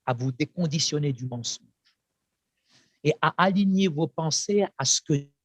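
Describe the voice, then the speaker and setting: Fervent husky-voiced man preaching. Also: on the high side, no echo. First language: Arabic. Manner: fervent, emphatic, with repetitions and pauses. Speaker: pastor. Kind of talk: encouraging testimony address.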